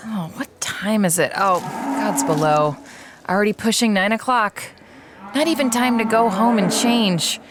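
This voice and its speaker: An actress playing a breezy, unabashed museum staffer in an audio drama, talking in a casual, offhand way. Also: female voice, mid-range voice, a little slow, very noisy, close to an everyday voice. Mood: flustered. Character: breezy adult woman museum staffer, unabashed morning-after lover.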